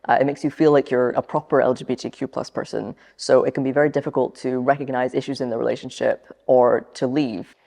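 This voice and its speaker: A young woman with a low, gravelly voice explains training material semi-scripted. Her intonation stays flat and even, and the room rings with long reverb. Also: a little fast, hardly any pauses. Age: young adult. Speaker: youth presenter in a training film.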